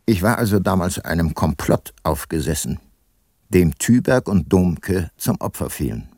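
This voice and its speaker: An actor playing a weary, guilt-laden ageing private detective and former prosecutor in a radio play, sober and reflective. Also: male voice, deep voice, expressive, measured pace, mellow, dry recording, clean studio sound, full character voice. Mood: remorseful, reflective. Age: older. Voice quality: husky. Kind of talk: first-person radio play narration and dialogue.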